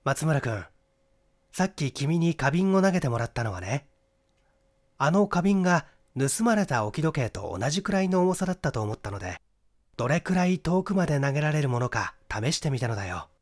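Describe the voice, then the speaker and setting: A man's voice: a little high, big pitch swings, a little fast. A man voicing a clever, confident young amateur detective who explains his deductions methodically in a mystery audiobook. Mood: methodical, self-assured. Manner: explanatory, methodical, rhetorical questioning. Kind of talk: Japanese mystery audiobook acting.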